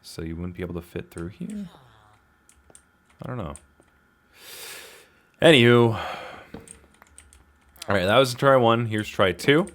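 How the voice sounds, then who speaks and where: A man talks his way through a game. His delivery is casual and slangy, with self-deprecating asides and exclamations, as if thinking out loud for his viewers. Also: on the low side, big pitch swings, slow pace, long pauses. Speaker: gaming video host. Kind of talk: casual gameplay commentary, talking to himself.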